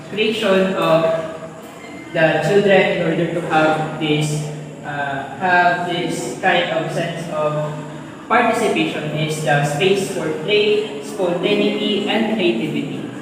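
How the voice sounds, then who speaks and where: Slow-paced woman in a very echoey hall. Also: lowish voice. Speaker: student presenter. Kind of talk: class presentation. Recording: very echoey hall, distant mic, noisy.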